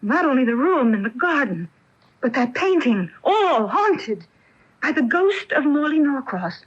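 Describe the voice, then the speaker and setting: A woman's voice: sits high, big pitch swings, measured pace, slightly rough, exaggerated voice. An actress playing an inquisitive young female tenant in a radio mystery drama, speaking earnestly and dramatically. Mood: insistent. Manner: earnest, dramatic, hesitant then insistent. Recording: dull lo-fi 1980 radio broadcast tape.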